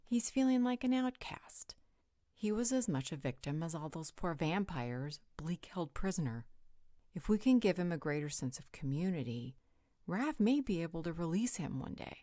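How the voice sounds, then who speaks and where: A woman playing a level-headed, compassionate female peacemaker, gentle and conciliatory, in a scripted roleplay audio drama. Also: fairly low pitch, sing-song, normal speed, dry recording, clean studio sound.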